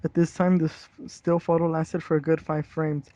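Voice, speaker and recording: A man's voice: a little high, level tone, normal speed, mellow, dry recording. A young man doing an amateur horror story reading among friends, tripping over words and dropping into casual, sweary slang. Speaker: reader of a scary internet story.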